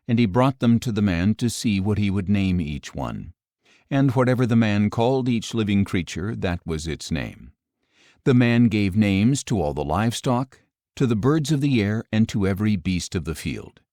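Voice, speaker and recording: A man reading scripture as an audiobook narrator, in a measured, solemn manner. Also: on the low side, darkish tone, no echo, clean studio sound.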